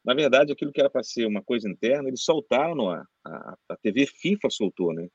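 A man's voice: medium pitch, animated, quick pace, breathy edge, no room sound. A Brazilian man, a voice-over announcer, telling stories as a guest in a casual interview, chatty and full of restarts. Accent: Brazilian Portuguese. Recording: dull dry lo-fi sound.

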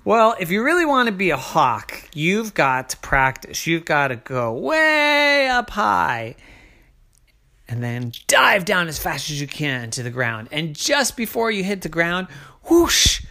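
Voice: medium pitch, sing-song, unhurried, sharp tone, full character voice. A storyteller voicing a group of boastful male hawks who tease and brag. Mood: smug. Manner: bragging, teasing.

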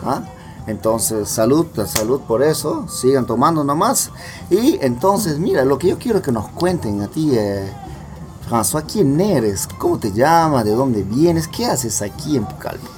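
A man hosting a casual podcast interview among friends over beer, joking and asking quick questions. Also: mid-range voice, very animated. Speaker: podcast host. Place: gathering with friends drinking beer. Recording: music playing in the background.

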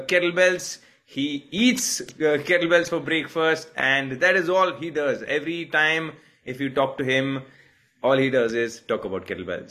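A man's voice: mid-range voice, pushed and bright. A man hosting a casual interview show, talking emphatically and repeating words for effect.

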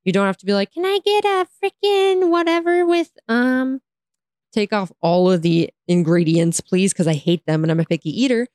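A woman with an American accent and a hoarse, raspy voice, casually telling a story in slangy, sarcastic, exasperated style and mimicking dialogue. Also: medium pitch, lively intonation, moderate pace, a little mellow, no echo, studio quality. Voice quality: raspy, tired voice. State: hoarse from talking all day. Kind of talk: casual chat, animated storytelling.

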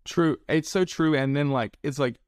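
A man's voice: on the high side, moderate pace, clear, resonant tone, no echo. Rambling Southern man, dry sound. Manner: relaxed, rambling, leans on "like" and "it's like". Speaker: podcast host. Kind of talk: casual podcast chat.

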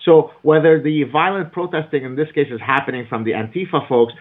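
A man's voice: fairly high pitch, fairly quick. A man speaking as an author and guest in an interview, emphatic and argumentative, lecturing at length. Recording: phone-like narrow audio.

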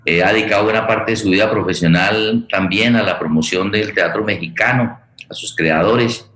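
A man hosting a forum, speaking formally but haltingly, repeating words as he searches for phrasing. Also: fairly low pitch, fairly bright tone. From Colombia. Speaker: host introducing a guest at a theatre forum. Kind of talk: spoken introduction, formal and improvised. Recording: echoey room sound.

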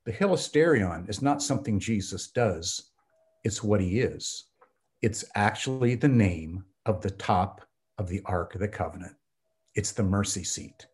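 A man's voice: lowish voice, expressive, measured pace, darkish tone. Earnest American man teaching theology. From United States. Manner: earnest, teaching tone with frequent ums. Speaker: theology professor and pastor, guest. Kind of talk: conversational podcast interview.

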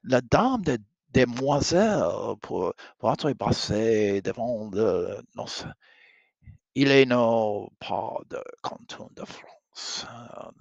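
A man reading the part of a shy young French princess, halting and stumbling through broken French and English. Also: mid-range voice, expressive, vocal fry, a little mellow, no room sound, dull audio, full character voice. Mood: flustered.

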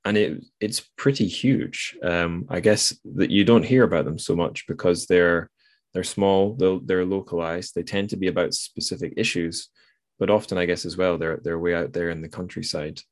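A man's voice: low voice, fairly even intonation, moderate pace, no room sound, studio quality. A man hosting a casual literary discussion podcast, thinking aloud in a tentative, hedging way. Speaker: podcast host.

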